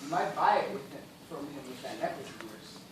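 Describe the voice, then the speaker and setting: Distant and swimming in echo over clear background noise, a man plays a gentleman castaway dying of thirst. He is weakened, bitter and impatient, speaking in formal theatrical phrases for an amateur stage play. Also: medium pitch, lively intonation, brisk, natural voice. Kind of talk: amateur one-act stage play acting. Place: indoor theatre stage, recorded from the audience distance. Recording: very echoey noisy hall, far away.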